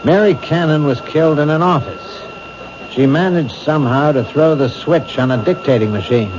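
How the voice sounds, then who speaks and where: An actor playing a brisk police captain. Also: male voice, on the high side, lightly acted. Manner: brisk, orders given crisply. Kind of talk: 1970s radio drama acting. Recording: dull old radio broadcast with hiss.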